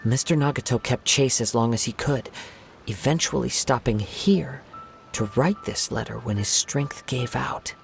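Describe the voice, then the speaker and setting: A man voicing a calm, thoughtful young wandering samurai in a video game, speaking in measured, formal phrases. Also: medium pitch, gravelly, soft background music. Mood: calm, pensive.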